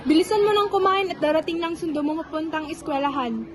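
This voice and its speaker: A woman's voice: high-pitched, a little fast, a little hiss or hum, close to an everyday voice. A woman playing a demanding mother who pushes her son to be perfect, nagging and insistent. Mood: stern. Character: strict demanding mother of a grown son, bitter.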